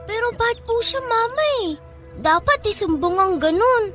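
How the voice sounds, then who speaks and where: Tiny innocent boy in radio drama, super high plaintive voice, music under it. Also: expressive, normal speed. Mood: protesting. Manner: childlike, plaintive, polite. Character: small hungry boy, innocent, pleading, loyal to his mother. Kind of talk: Tagalog radio drama acting.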